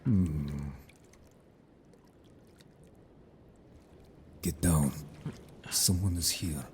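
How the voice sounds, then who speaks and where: A man playing a stern, blunt merfolk companion in an audio drama, formal and measured in speech, suspicious of humans. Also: low-pitched, a little mellow, a little hiss or hum.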